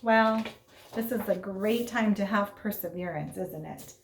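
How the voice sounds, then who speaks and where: A woman reading a children's story aloud in an expressive, dramatic way, with asides and questions to young listeners. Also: medium pitch, unhurried, a little hiss or hum. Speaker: storybook reader. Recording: roomy, echoing sound.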